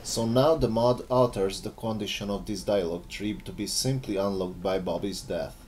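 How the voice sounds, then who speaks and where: Man, low voice, scripted game mod presentation, narrator, faint background noise. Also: steady tone, moderate pace, darkish tone.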